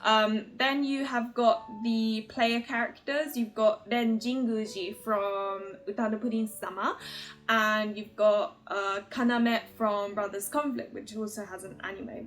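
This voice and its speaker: A woman hosting an ad-libbed, chatty video commentary, rambling along in an excitable, giggly manner. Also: on the high side. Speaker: video host introducing games and voice actor's roles. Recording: music playing under the voice. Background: background music.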